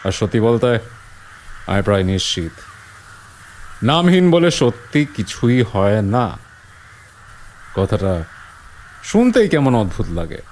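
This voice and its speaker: A man's voice: on the low side, sing-song, takes it slowly, long pauses, darkish tone. A man playing a skeptical, down-to-earth friend in an audio horror drama, scoffing and matter-of-fact. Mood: dismissive. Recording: clear outdoor ambience noise behind.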